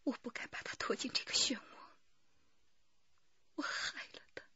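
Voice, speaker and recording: An actress playing a proud, strong-willed murder mastermind in a radio drama, confessing in a breathy, intense half-whisper. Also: female voice, moderate pace, bright, forceful tone, dry recording, dull audio, lightly acted. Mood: anguished, remorseful. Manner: intense, defiant.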